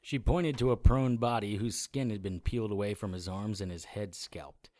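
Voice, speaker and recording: A man playing a supernatural detective who narrates his grim adventure in measured, hardboiled audio drama style. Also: on the low side, dark tone, no echo, clean studio sound, close to an everyday voice. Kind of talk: first-person scripted audio drama narration.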